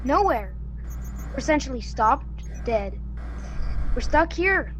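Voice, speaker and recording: A woman's voice: high-pitched, sing-song, slow pace, a bit bright, a little hiss or hum, heavily acted. A woman playing a blunt, commanding young colonist on a starship in a sci-fi radio play, with clipped delivery. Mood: blunt, grim. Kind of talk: science fiction radio play acting.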